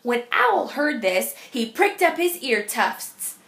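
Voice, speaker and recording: A woman reading a picture book aloud to children as a teacher, expressive and emphatic. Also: on the high side, slow pace.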